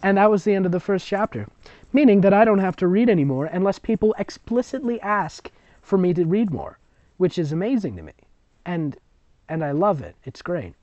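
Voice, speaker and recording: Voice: mid-range voice, lively intonation, a little fast, a little mellow, dry recording. A sarcastic, exasperated reader narrating amateur fiction and breaking off to mock it with commentary. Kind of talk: mocking story reading with ad-libbed commentary. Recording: dull, lo-fi close recording.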